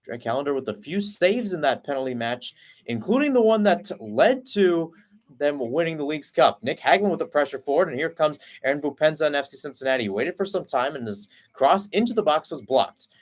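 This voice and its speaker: A man giving live play-by-play commentary on a soccer match, keeping up a running call that rises and gets louder when attacks build. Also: a little high, lively intonation, a little fast.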